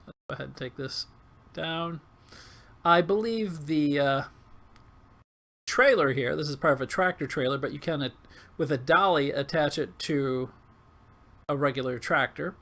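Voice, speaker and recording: Man, hoarse and squawky, heavy creak, fairly high pitch, casual gameplay commentary, halting delivery. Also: bright, forceful tone. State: hoarse, losing his voice. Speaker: gaming video host.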